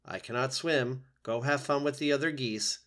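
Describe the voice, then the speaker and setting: A man voicing a female robot mother who speaks in an even, polite, careful way. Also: mid-range voice, normal speed, voice pushed up, close to an everyday voice. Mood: gentle.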